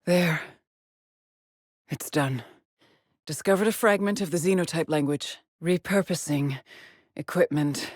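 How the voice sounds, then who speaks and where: An actress playing a weary, determined lone space explorer in a video game, with a measured, solemn, haunted delivery. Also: female voice, low voice, dry recording, studio quality. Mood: terse, focused. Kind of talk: dramatic game voice lines, short log entries.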